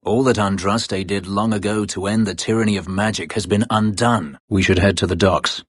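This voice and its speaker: A brooding video game warrior, once a slave, with a very deep and husky voice. He is proud and guarded, choosing terse, formal words and delivering them dryly. The sound is close and dry. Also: fairly even intonation, moderate pace, no echo. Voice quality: husky, low, gravelly-edged voice. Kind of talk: video game character voice lines.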